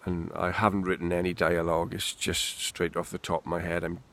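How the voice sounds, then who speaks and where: Welsh man, deep voice, rambling and swearing, outdoors in the wind. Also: flat delivery, a little fast, a bit bright. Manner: rambling, candid, swearing freely. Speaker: vlogger. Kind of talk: unscripted monologue to camera.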